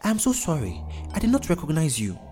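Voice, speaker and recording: A man's voice: mid-range voice, big pitch swings, a little fast, darkish tone, faint music behind, natural voice. A man voicing a young, submissive and fearful spirit woman in an audiobook tale. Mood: fearful, pleading.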